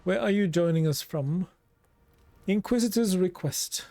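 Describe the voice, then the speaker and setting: Norwegian-accented male streamer, slightly high, mellow, chatty game commentary. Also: measured pace, gravelly, no echo. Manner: chatty, talks to chat, reacts aloud. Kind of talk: casual let's play commentary.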